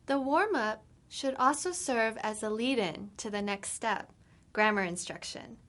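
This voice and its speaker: Woman instructor, slightly high voice. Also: measured pace, a bit bright. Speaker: English instructor. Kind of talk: scripted lesson presentation.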